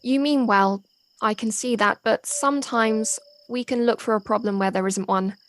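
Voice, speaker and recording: A woman's voice: a little high, steady tone, moderate pace, close to an everyday voice. A woman acting in an audio play as a young local daughter, loyal, polite and matter-of-fact, friendly but a little guarded. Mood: guarded, reassuring. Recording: faint noise, home personal-device recording.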